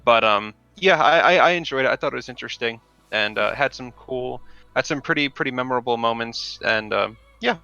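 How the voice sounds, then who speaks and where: A man's voice: mid-range voice, fairly quick, creaky, pushed and bright, no echo. A young man co-hosting a casual gaming podcast, rambling through ideas with frequent restarts and fillers. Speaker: podcast co-host. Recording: dull lo-fi sound with faint music.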